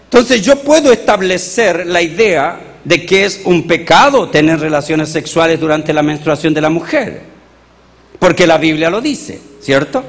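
Chilean male pastor, high voice, animated sermon delivery, reverberant hall. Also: unhurried. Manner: animated, rhetorical questions to the congregation.

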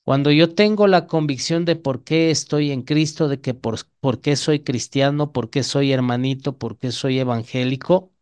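A man's voice: mid-range voice, moderate pace, hardly any pauses, darkish tone, clear, resonant tone. A man with an Ecuadorian Spanish accent preaching an evangelical sermon in a didactic way, often putting questions to his listeners.

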